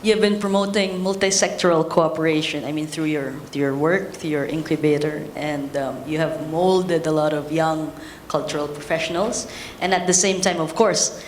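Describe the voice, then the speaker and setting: A woman moderating a panel, putting questions to a guest in a halting way and rephrasing as she goes. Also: sits low. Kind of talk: interviewing panelists, partly from a script. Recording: roomy echo, faint background noise.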